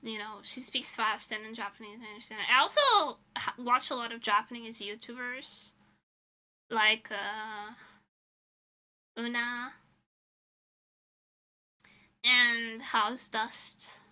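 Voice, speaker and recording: A woman's voice: on the high side, takes it slowly, sharp tone. A young woman streaming as a VTuber, chatting casually with her viewers in a rambling, giggly way.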